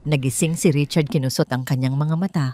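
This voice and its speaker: A woman's voice: deep voice, steady tone, a little fast, warm tone. A woman reading a scripted dramatic story aloud as its narrator.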